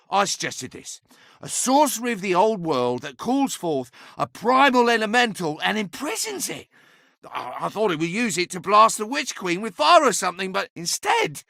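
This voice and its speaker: An ancient, chatty enchanted object from a fantasy video game, high and wildly swooping in pitch. The delivery is pompous, sardonic and theatrical, with a gravelly crackle around the edges. It sounds like a boastful, bawdy little adviser who loves to talk. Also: male voice, pushed and bright, dry recording, studio quality, heavily acted. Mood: sheepish explaining. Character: impish, talkative, bawdy ancient sentient staff, boastful adviser.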